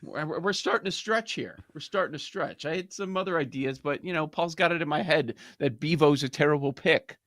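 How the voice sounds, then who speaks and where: A man hosting a sports betting show, animated and laughing through casual banter. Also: on the high side, fairly quick, few pauses, dry recording, studio quality. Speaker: podcast host.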